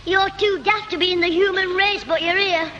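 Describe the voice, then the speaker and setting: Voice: very high-pitched, fairly even intonation, muffled recording, loud music behind the voice. An actor playing a cheeky, bossy schoolboy with a Northern English accent in a 1960s radio comedy. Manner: cheeky, quick-retorting. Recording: noisy 1960s radio recording.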